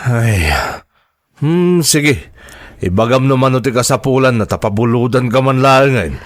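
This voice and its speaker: A man's voice: mid-range voice, unhurried, no room sound, studio quality, natural voice. A man with an Ilocano accent playing a scornful, well-off uncle in a radio drama, belittling and grumbling as he talks. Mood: grudging. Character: scornful, well-off uncle grudgingly lending money to relatives.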